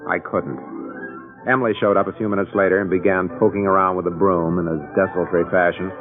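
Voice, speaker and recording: A man's voice: deep voice, little pitch movement, fast talker, phone-quality sound, close to an everyday voice. An actor playing a wry, harried middle-aged American father, narrating and speaking dryly in an old-time radio drama. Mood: wry narration. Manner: dry, wry. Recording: thin band-limited old radio recording, music behind.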